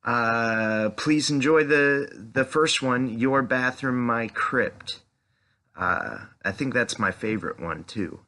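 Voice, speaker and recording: A man with a bright, forward voice hosts a podcast casually. His delivery is halting and hesitant, as he feels his way through introductions and questions at a middling pitch. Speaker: podcast host.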